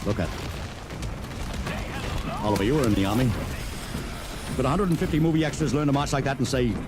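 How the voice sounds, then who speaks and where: Actor as a brash, animated old-radio publicity man. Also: mid-range voice, a little fast, background noise, natural voice. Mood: grim, intense. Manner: bossy, snappy, emphatic. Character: brash, cynical fast-talking publicity man, growing alarmed. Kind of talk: old-time radio drama acting. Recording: old radio show, music behind.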